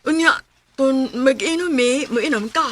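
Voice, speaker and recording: A woman's voice: sits high, unhurried, fairly bright tone. An actress with a Visayan Filipino accent playing a chatty, sociable young woman in a radio drama. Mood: chatty, friendly.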